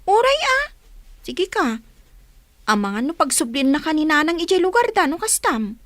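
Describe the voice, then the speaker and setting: An actress with an Ilocano accent playing a young, peace-minded elder sister in a radio drama. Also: female voice, high-pitched, very animated, moderate pace, dry recording. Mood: cautioning.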